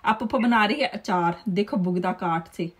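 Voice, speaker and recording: A woman's voice: medium pitch, moderate pace, slightly rough. A woman, chatting and telling stories over her home video. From Pakistan. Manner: chatty, storytelling, laughs often. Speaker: vlogger.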